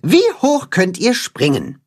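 A storyteller voicing a bossy, clever cat-hen leader who gives brisk orders in a raised, breathy voice. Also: medium pitch, very animated, pitched above the performer's own voice, full character voice. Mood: commanding. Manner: brisk, commanding.

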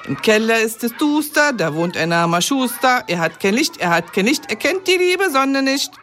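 A woman's voice: on the high side, very animated, moderate pace, fairly bright tone. A woman playing a friendly kindergarten teacher in a kids' radio comedy, patiently explaining games.

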